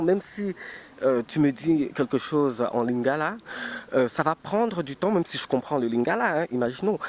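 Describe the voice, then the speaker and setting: A man speaking as a writer in an unscripted live interview, halting as he breaks up phrases and repeats words for emphasis. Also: mid-range voice. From Cameroon. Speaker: writer being interviewed at a book festival. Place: busy book festival venue. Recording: noisy event ambience. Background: event noise and ambience.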